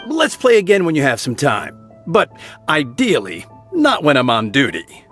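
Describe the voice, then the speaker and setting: Earnest town guard, formal, courteous, lively intonation, medium pitch, game dialogue, quiet music. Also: male voice, moderate pace. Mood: gracious. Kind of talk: video game character acting.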